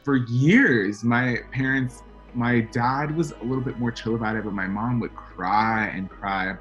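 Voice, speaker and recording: A man speaks as an interview guest in an easy mid-range voice. He tells his stories in a chatty, animated way. Faint music plays underneath. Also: mid-range voice, unhurried, lo-fi sound. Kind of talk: casual interview talk.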